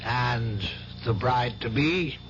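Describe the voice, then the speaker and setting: An actor playing a gentle, courteous male parish priest in a 1970s radio drama. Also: mid-range voice, takes it slowly, over music, natural voice. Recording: dull, faintly noisy 1970s AM radio broadcast.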